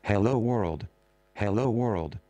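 Computer speech voice, robotic, flat, dry, from the hall speakers. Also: male voice, lowish voice, takes it slowly, pitched below the performer's own voice. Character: synthetic browser text-to-speech voice.

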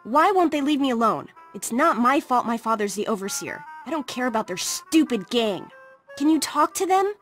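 A woman's voice: on the high side, animated, moderate pace, soft background music, natural voice. A woman voicing an exasperated, feisty teenage girl in a video game, indignant and earnest in her delivery. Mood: upset, pleading. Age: young adult. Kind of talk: video game dialogue acting.

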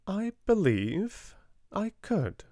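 A man voicing a bright, imaginative young girl in a read-aloud storybook, softly lifting his voice. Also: sits high, very animated, drawn-out words, no room sound, clean studio sound, voice pushed up, full character voice. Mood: dreamy.

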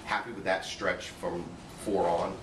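Deep-voiced male reporter from across the room. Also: little pitch movement, measured pace. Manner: casual, rambling questions. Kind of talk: press conference questions. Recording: distant, echoey, noisy room audio.

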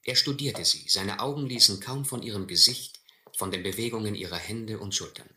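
An actor playing an educated gentleman who narrates his sea adventure in measured, literary first person. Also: fairly low pitch. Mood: reflective. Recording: roomy old radio-play recording, faint noise.

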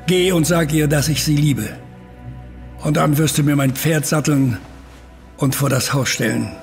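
A man's voice: a little high, fairly even intonation, a little slow. A man playing an astronomer uncle in a German audio drama, intense and earnest. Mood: grave. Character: excitable uncle, amateur astronomer turning gravely resigned. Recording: music under the voice.

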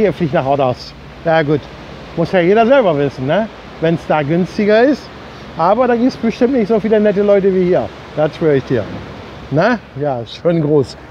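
High-voiced man chatting in a casual vlog. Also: warm tone. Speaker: vlog host. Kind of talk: casual vlog chat to viewers. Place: outdoors on a beach. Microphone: phone or camera at arm's length. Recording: dull lo-fi sound, outdoor wind or ambient noise.

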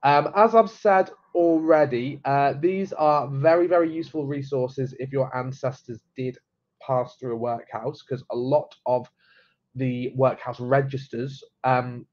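A man's voice: mid-range voice, measured pace, darkish tone. Stuffy-nosed young man, chatty. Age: young adult. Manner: chatty, many fillers and repeated words. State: has a cold, stuffy. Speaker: genealogy presenter. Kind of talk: casual live-stream explainer. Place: small home room. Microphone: webcam at desk. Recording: slightly dull webcam audio.

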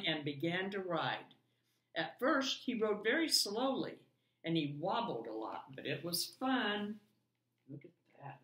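A woman in her sixties reading a children's story aloud in an expressive, deliberate way. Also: fairly low pitch, highs cut off. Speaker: storybook reader. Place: home dining room, indoors. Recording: roomy echo, distant room microphone.

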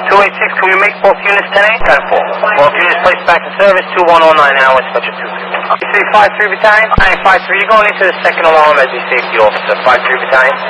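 Noisy radio dispatcher, higher-pitched man. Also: expressive, fast talker, hardly any pauses. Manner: clipped, procedural, businesslike. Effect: two-way radio. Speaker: fire department dispatcher. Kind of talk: terse radio dispatch, reading units and codes. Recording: noisy fire radio scanner audio.

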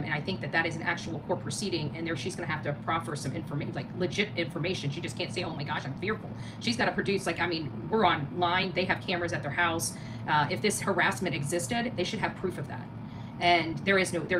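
A middle-aged American woman giving casual livestream commentary and chatting with viewers in an emphatic, indignant, repetitive way. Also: fairly low pitch. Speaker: live stream host. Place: small living room, indoors. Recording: clearly noisy home phone recording.

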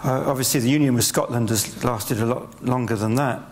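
A male interviewer on an auditorium stage whose gravelly voice breaks into halting restarts as he builds his question. A faint hum runs behind him. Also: mid-range voice. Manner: hesitant, halting. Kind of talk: on-stage public conversation.

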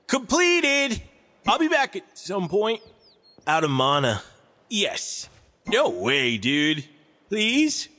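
A man's voice: high voice, huge pitch swings, slow pace, long pauses, sharp tone, long reverb tail, full character voice. A man voicing a laid-back, nature-loving hippie stoner wizard for a video game, with a drawling, spaced-out surfer-dude delivery. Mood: spaced-out, friendly. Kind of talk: video game character voice lines.

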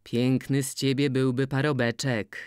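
A reader voicing an older, stubborn farmer who speaks low and coaxing in an audiobook. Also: level tone, a little slow, a little mellow, smooth, no echo, studio quality, lightly acted. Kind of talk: audiobook character voice.

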